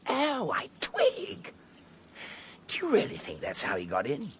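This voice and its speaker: A man's voice: sits high, huge pitch swings, unhurried, noisy background, exaggerated voice. An actor playing a breezy, slangy, upper-class young British man in a period radio drama. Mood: jaunty, game. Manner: jaunty, slangy.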